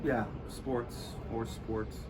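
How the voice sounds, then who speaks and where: Midwest american guy, chatty student, mid-pitch voice, faint hiss behind. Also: level tone, extremely slow. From Chicago, US Midwest. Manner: easygoing, chatty, joking. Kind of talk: casual chat.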